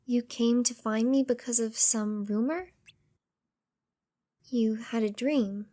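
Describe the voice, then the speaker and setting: Woman acting a high-voiced, gentle young female master. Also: fairly even intonation, slow. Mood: gentle, composed. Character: young female master, gentle but firm, mysterious fighter. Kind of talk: video game fan dub acting. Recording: music under the voice.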